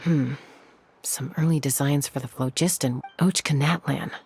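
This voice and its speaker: A woman acting a cool, composed young investigator in a fantasy video game, speaking in a measured, matter-of-fact way with a husky voice. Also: low-pitched, fairly even intonation, a little mellow, exaggerated voice. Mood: composed, serious. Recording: game music behind. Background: game music.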